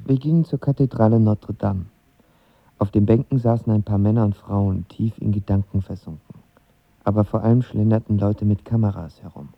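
A man's voice: fairly low pitch, fairly even intonation, moderate pace, gravelly, no echo. An actor playing a lovestruck, impulsive young man who narrates his romance in an intimate, reflective audio drama style. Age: young adult. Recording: dull studio sound with music behind.

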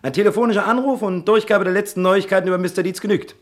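An actor playing a smooth-talking, self-satisfied male newspaper reporter in a German radio play, boastful and insinuating. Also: high-pitched, fairly even intonation, rapid-fire.

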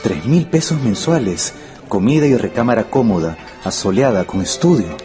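Actor as low, formal newspaper-notice voice. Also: male voice, expressive, normal speed, close to an everyday voice. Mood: formal, measured. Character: formal voice of a newspaper job advertisement. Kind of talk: radio drama, reading out a notice. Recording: prominent music under the voice. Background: music.

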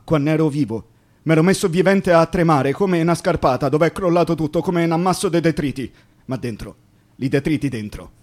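A man acting a rambling Roman workman's ghost, high-voiced. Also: close to an everyday voice. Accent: Roman Italian. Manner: rambling, colloquial, incantatory repetition. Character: dead male construction worker, bitter, tender, rambling ghost. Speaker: stage actor. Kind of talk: theatrical radio monologue in dialect.

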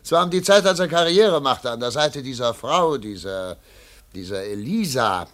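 A man acting a self-assured American lawyer in a radio play. His intonation is very lively, in a dry, close studio sound. He is middle-aged, brisk and theatrical, as if thinking aloud. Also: medium pitch, dry recording, natural voice. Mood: curious, reminiscing. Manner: theatrical, pondering aloud, repeating words for emphasis. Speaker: narrator and lead character.

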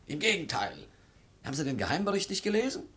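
An actor in a 1950s German radio play, playing a sceptical, blustering imperial police inspector who dismisses things brusquely. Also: male voice, a little high, very animated, quick pace, a bit bright. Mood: amused, sceptical.

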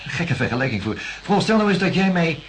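An actor playing a patient, cautious, kindly doctor, speaking in a measured, slightly hesitant way in a radio drama. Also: male voice, a little high, sing-song, a little hiss or hum. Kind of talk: naturalistic radio drama dialogue.

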